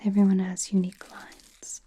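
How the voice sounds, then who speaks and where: A woman playing a mysterious, attentive sorceress fortune-teller in a whispered ASMR roleplay, speaking softly and deliberately close to the microphone. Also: mid-range voice, monotone, natural voice. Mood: calm, attentive. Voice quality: breathy, mostly unvoiced whisper. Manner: slow, deliberate, soothing with soft pauses. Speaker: ASMR performer.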